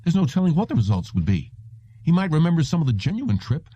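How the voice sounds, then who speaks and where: A man's voice: on the high side, sing-song, fast, warm tone, breathy edge, dry recording, lo-fi sound, voice pushed up. A man voicing a cautious, anxious technician in an audiobook. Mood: worried. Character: worried, cautious technician in a raised voice.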